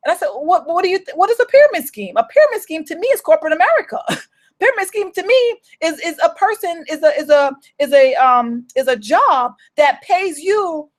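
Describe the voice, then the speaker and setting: A high-pitched woman with a New York accent talks straight to her viewers like a business coach. She is earnest and emphatic, circling back over her own words as she presses each point home. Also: very animated, brisk. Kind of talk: motivational talk to camera.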